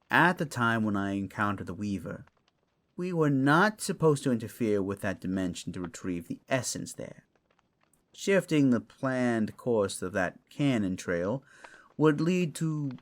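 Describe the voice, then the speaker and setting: A man playing a roguish, scholarly furred creature in a fantasy audio drama, grumbling and explaining theatrically. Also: medium pitch. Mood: indignant grumbling. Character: furred, clawed male creature, a roguish scholarly artifact-tinkering steward.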